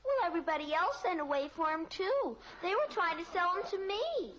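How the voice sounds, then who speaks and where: An actress playing an earnest, guileless little American daughter in a mid-century radio sitcom, innocent and plaintive. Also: female voice, very high voice, sing-song, brisk, a bit bright, exaggerated voice. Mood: innocent, matter-of-fact. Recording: dull, noisy old radio recording.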